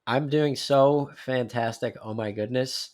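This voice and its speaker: A man co-hosting a casual podcast, congested, talking in an animated, joking way. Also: medium pitch, measured pace. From New Jersey. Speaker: podcast co-host.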